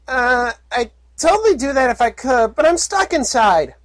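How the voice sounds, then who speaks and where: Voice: high voice, lively intonation, moderate pace, dry recording, pitched above the performer's own voice. A squealing cheerful filly pony. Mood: giddy. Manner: bubbly, squealing, childlike.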